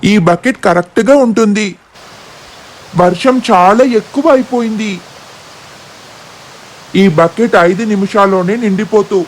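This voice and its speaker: An excitable young boy from a family cartoon , acted out by a performer. He speaks in exclamations at a middling pitch, calling out to the grown-ups. There is some noise behind the voice. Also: unhurried, pauses often, natural voice, poor audio. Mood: alarmed, urging. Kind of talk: animated children's story dialogue.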